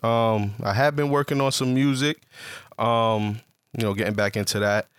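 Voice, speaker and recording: A man in his late twenties chats on a podcast in a relaxed, slangy way, dropping ums as he thinks. His voice sits at an ordinary pitch. The studio mic sounds close and dry. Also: moderate pace, smooth, dry recording. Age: 20s. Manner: laid-back slangy talk with many ums. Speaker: podcast co-host. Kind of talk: casual chat.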